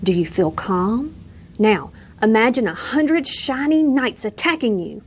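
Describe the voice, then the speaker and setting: A woman's voice: a little high, expressive, a little slow, husky. An eager young knight in a storybook, kind and earnest, always encouraging his friend, voiced by a woman reading aloud. He sounds hopeful and good-hearted.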